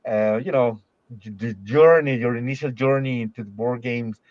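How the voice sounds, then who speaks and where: Male podcast host, halting speech, repeats words, teasing, slightly muffled, dry sound. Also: medium pitch, dry recording. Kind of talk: casual podcast interview.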